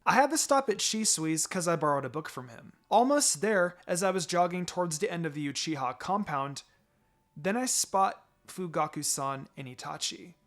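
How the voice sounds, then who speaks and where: A man reading a scripted story aloud in an expressive, dramatic narrating style. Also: on the high side, moderate pace. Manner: expressive, voices the dialogue. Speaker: fan fiction reader. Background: background music.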